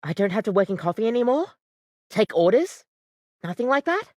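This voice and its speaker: A woman's voice: a little high, very animated, fairly quick, a little mellow, no echo. A woman voicing an overworked, apologetic barista in a video game, chatty, rambling and self-deprecating, with a weary devotion to the job. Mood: hopeful. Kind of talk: video game character acting, conversational.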